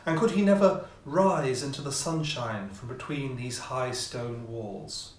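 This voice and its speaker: A man reading a classic ghost story as scripted narration, with measured, theatrical phrasing and pauses. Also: medium pitch. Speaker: audiobook reader, amateur player. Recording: roomy echo, distant mic, faint noise.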